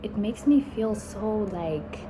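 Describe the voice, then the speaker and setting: Slow, musing young woman. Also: medium pitch, lively intonation, noisy background. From South Africa. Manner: musing, many likes and sighs. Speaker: vlogger. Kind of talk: reflective casual vlog. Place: in Italy while travelling.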